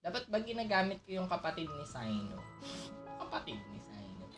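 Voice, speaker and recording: A lively, expressive woman with a Filipino accent, chatting casually as she streams a game. She reacts freely and code-switches, with soft music behind her. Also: deep voice, fairly quick. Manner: reactive, switches between Tagalog and English. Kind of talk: casual livestream game commentary.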